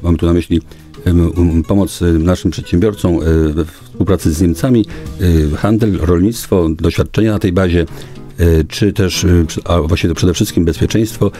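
Deep, dark-voiced middle-aged man, halting. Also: soft background music. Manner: halting, formal official speech with frequent hesitations. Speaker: local government official, guest. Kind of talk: formal radio interview answers. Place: small radio studio. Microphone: studio boom microphone.

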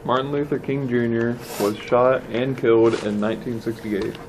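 A man reading a children's book aloud in a plain, measured manner. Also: medium pitch, fairly even intonation. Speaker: storybook reader. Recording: faint background noise.

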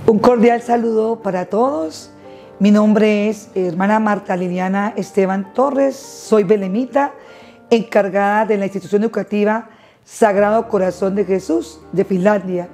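A woman's voice: medium pitch, a little slow, dark tone, soft background music. A middle-aged woman with a Colombian Spanish accent, a nun who runs a school, speaking cheerfully and fervently to camera indoors. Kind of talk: testimony to camera. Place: indoor chapel room.

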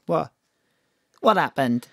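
A woman's voice: deep voice, lively intonation, takes it slowly, vocal fry, no echo, studio quality, exaggerated voice. An actress with a Welsh accent playing a doting, plain-spoken middle-aged mother in an audio drama, emphatic and colloquial. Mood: concerned.

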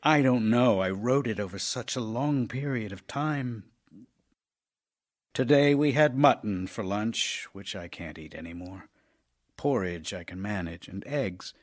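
Ailing middle-aged playwright, lowish pitch, intimate, wistful, wry, loving letters, audio drama. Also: male voice, unhurried. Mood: relieved, fond. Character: ailing, tender, wry playwright husband writing love letters. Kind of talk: audio drama acting, reading love letters aloud.

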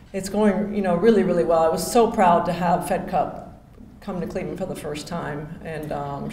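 Woman giving a speech in an echoey ballroom. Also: lowish voice. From Miami, Florida. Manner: chatty, warm thank-yous with many fillers and restarts. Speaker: hall of fame inductee. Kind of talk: acceptance speech. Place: hotel ballroom, on stage at a podium. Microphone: podium microphone. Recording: echoey ballroom with faint hum.

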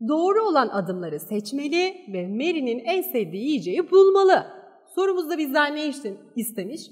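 Lively high-voiced Turkish woman teacher. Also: big-room sound. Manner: reads English lines then translates them into Turkish. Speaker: English teacher. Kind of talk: exam-prep lesson over slides. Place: voice-over on slides.

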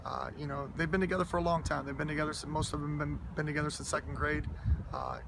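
A man, a high school football coach giving earnest, humble interview answers outdoors beside a school, full of fillers and restarts. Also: lively intonation, quick pace, vocal fry. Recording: outdoor noise and passing traffic.

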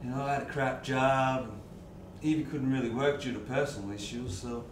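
A man's voice: mid-range voice, fairly even intonation, a little fast, natural voice. A man playing an older, friendly but nosy traveler who talks in a chatty, fatherly way and hesitates. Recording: distant, echoey, noisy.

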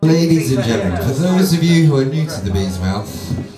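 A man's voice: mid-range voice, very animated, moderate pace. A man hosting an open mic, announcing to the audience in a small bar venue. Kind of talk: live stage announcing. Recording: echoey venue, distant, music behind.

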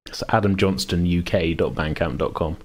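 A man's voice: deep voice, normal speed. A man hosting a podcast in casual chat, self-deprecating and given to chuckling. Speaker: podcast host and game master.

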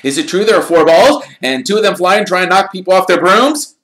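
A man voicing an excitable young schoolboy fan who gushes out breathless questions. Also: very high-pitched, pitched above the performer's own voice. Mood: eager. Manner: breathless, gushing, rapid questions. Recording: roomy echo.

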